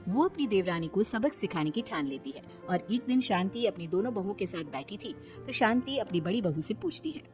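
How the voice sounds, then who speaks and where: A woman's voice: mid-range voice, sing-song, brisk, hardly any pauses. A woman reading scripted narration for a Hindi cartoon family story. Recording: music behind the voice. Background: background music.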